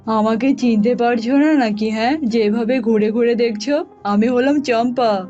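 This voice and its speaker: A woman's voice: a little high, normal speed, dark tone, lightly acted. A woman voicing a vengeful witch cow in a cartoon, accusing and threatening, in a dull recording with music behind.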